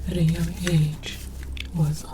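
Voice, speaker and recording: A woman whispering breathily in a hushed, mock-scholarly ASMR roleplay as a calm, curious alien archaeologist presenting objects. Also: sits low, slow pace, background noise. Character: calm, curious alien archaeologist researcher studying human relics.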